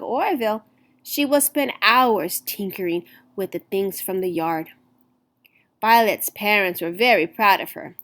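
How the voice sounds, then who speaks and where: A woman reading a picture book aloud to children in an expressive, deliberate storytelling manner. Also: mid-range voice. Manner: deliberate, animated storytelling with emphasized words.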